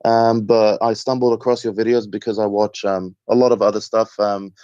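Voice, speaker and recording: A man's voice: on the low side, moderate pace, clear, resonant tone, dry recording. A man in his thirties, originally from Egypt, calling in and talking earnestly with frequent ums. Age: 30s. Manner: steady, earnest, many ums. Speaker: caller. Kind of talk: phone call to a show. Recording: dull, dry phone-line sound.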